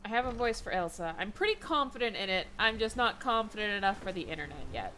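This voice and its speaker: A tired young woman chatting in an excitable, self-deprecating way while playing a game on her channel. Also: mid-range voice, fast, slight background noise, low-quality recording. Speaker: gaming vlogger. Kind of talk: casual let's-play chat.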